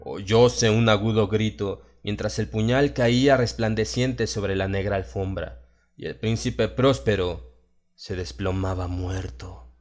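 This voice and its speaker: Low voiced latin american guy reading a horror story, solemn n theatrical, music behind him. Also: steady tone, unhurried. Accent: Latin American Spanish. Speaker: audiobook narrator. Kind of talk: dramatized scripted horror narration. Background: background music.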